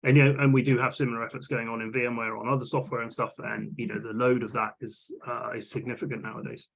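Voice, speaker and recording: A man's voice: mid-range voice, flat delivery, quick pace, creaky, fairly bright tone. A man talking casually in an online technical meeting, hesitant, with many restarts and fillers. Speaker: vulnerability management working group lead. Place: online video call.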